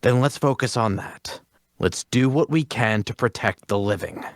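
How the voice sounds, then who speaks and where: A man playing a calm, reasonable leader of adventurers, placating and measured, in a fantasy drama table read. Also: mid-range voice, no room sound, clean studio sound, close to an everyday voice. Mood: reassuring.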